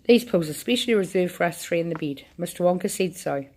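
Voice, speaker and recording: A woman's voice: lowish voice, animated, quick pace, a little mellow. A woman voicing a prim, fussy old grandmother.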